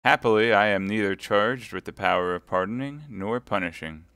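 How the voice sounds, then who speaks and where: Male reader as a solemn, upright soldier; low, measured, dry. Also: a bit bright, no room sound. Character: serious devout young soldier, grave and upright.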